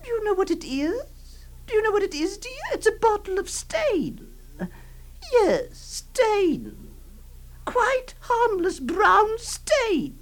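A woman playing a trembling, high-voiced possessive aunt. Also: big pitch swings, slow, exaggerated voice. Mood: coaxing. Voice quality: tremulous, hushed and pleading. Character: doting, possessive aunt soothing a girl. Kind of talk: dramatic monologue reading.

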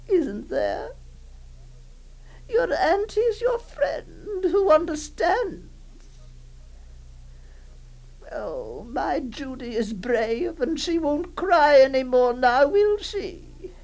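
A woman acting a doting, possessive aunt who comforts a young girl in a hushed, tremulous, pleading voice, in a dramatic monologue. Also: high voice, full character voice, rough recording. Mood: tearful.

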